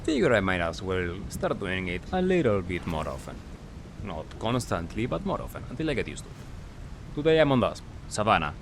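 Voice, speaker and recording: A man giving casual gameplay commentary as a recap, talking in short clipped phrases with pauses. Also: low voice, big pitch swings, noisy background. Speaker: gaming video creator.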